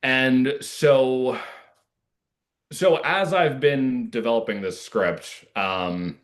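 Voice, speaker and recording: A man's voice: medium pitch, extremely slow. A man talking casually and reflectively as an interviewed playwright, earnest and discursive, with many asides.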